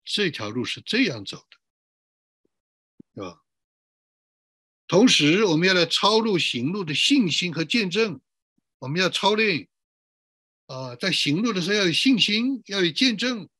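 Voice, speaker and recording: A man preaches as a pastor, his voice fairly high and bright with a husky edge. He is emphatic, stacking short repeated phrases and questions as he teaches. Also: sing-song, unhurried, pauses often, no echo, clean studio sound. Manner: emphatic, repetitive listing. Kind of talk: sermon, teaching with rhetorical questions.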